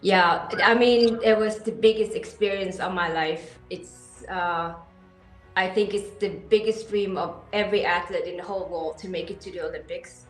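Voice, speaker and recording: A woman in her thirties, raised in Sweden, answers interview questions with eager, halting enthusiasm. Her voice sits fairly low, and the room rings with echo, with faint music underneath. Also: steady tone. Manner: enthusiastic, hesitant with many fillers. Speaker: interview guest, equestrian athlete.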